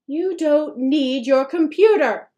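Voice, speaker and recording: Lady doing a fed-up mom yelling at her kid, high and loud, storybook reading. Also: animated, moderate pace, close to an everyday voice. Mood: furious. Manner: yelling, sharp, scolding. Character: furious exasperated middle-aged mother.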